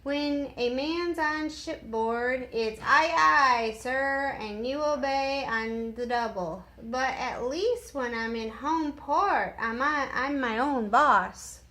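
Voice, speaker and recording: A woman voicing an exuberant young male sailor in a storybook, loud, blunt and exclamatory. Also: high-pitched, slow, hardly any pauses, exaggerated voice. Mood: brisk.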